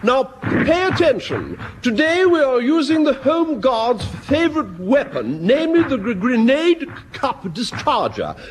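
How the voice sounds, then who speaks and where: High-voiced, stammering English army officer. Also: male voice, wildly swooping pitch, a little slow, hardly any pauses, slight background noise, exaggerated voice. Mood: officious. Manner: hesitant, stammering. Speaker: actor in a comedy. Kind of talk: British sitcom acting.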